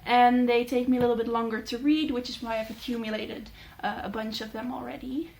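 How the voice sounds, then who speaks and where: A young Dutch woman, a native Dutch speaker, chatting casually in a vlog with many fillers and thoughtful pauses. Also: on the high side, steady tone, vocal fry, darkish tone, a little hiss or hum. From Netherlands. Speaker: book channel host. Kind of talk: casual talking-head vlog.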